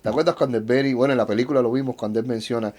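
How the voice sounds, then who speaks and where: A man's voice: mid-range voice, fairly even intonation, racing, darkish tone. A man hosting a podcast interview, asking questions and backing up his guest with short remarks. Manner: friendly, affirming interviewer. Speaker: podcast host. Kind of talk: casual interview.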